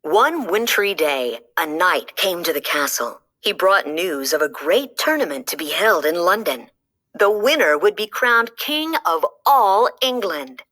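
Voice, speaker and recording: A woman reads a children's storybook aloud in a slow, unhurried way. Her voice sits a little low but swoops up and down with lively expression. She leans into each line like a storyteller. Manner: slow, lively storytelling with dramatic pitch swings. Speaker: storybook reader. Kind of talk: children's read-aloud narration.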